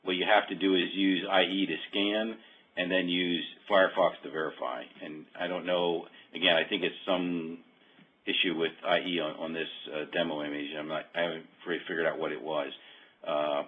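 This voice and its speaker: A man giving an informal, hesitant, explanatory walkthrough of a software demo, often rephrasing mid-sentence. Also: low voice, flat delivery, moderate pace, slightly rough. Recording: muffled phone-like call audio.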